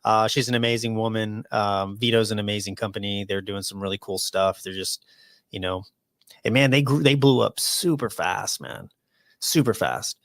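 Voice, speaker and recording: A man in his early forties talking casually as a podcast guest, relaxed, often saying "man" and "dude". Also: fairly low pitch, normal speed, gravelly, no echo, clean studio sound. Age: 40s. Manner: laid-back, says "man" and "dude" a lot. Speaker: podcast guest, awards show founder.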